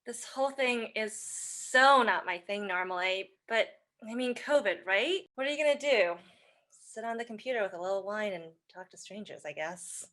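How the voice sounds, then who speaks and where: A woman's voice: medium pitch, expressive, moderate pace, fairly bright tone, lightly acted. A woman playing a flustered, giddy, chatty speed dater in an audio drama. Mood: giddy, frazzled. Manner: flustered, giggly.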